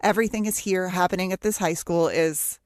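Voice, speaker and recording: A woman's voice: lowish voice, fairly quick, no room sound, studio quality. A woman co-hosting a podcast, chatting casually about television in a wry, joking way. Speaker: podcast co-host. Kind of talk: casual TV discussion chat.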